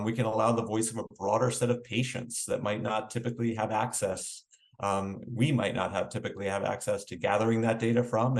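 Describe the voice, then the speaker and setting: Male government official, low register, opening a conference. Halting, loosely phrased delivery with plenty of hedging. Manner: halting, hedging, frequent "you know". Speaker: regulatory official giving opening remarks. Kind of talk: conference welcome speech, loosely spoken.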